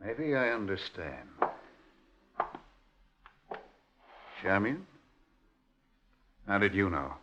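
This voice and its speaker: A low, lively male voice with a hard, bright edge. It is a jealous bully of a husband in old radio melodrama, full of sarcasm and menace. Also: slow, muffled recording, slight background noise, full character voice. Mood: suspicious, menacing. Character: jealous, bullying husband, secret communist, hot-tempered. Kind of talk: 1950s radio drama acting.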